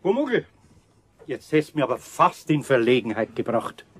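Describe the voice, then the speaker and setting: An actor playing a good-natured, grumbling older craftsman with a Bavarian accent and a husky voice in a children's radio play. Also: mid-range voice, sing-song, moderate pace. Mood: mild scolding.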